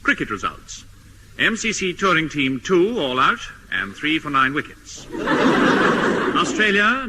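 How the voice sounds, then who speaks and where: An actor playing an unflappable sports newsreader in a 1950s radio comedy, reading in a formal, measured way. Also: male voice, high-pitched. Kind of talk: 1950s radio news announcing. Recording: noisy, reverberant old radio broadcast.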